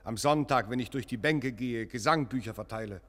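A man's voice: mid-range voice, a little fast, fairly bright tone, natural voice. Radio play clergyman, played by a man: mid-range, brooding and solemn, urgent short lines, reverberant stone space. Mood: brooding, anguished. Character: troubled, earnest Protestant clergyman visiting a foreign church. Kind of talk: German radio play acting. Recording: long echoing church-like reverb.

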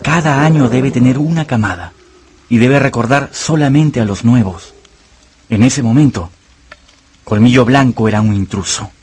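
A man's voice: medium pitch, normal speed, a little mellow, breathy edge. A man narrating a radio novel as a scripted, dramatic storyteller.

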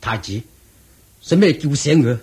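A man acting a prince's loyal, impatient manservant in a radio drama, excitable and colloquial. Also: medium pitch, measured pace. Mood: impatient, urging. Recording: echoey radio drama recording.

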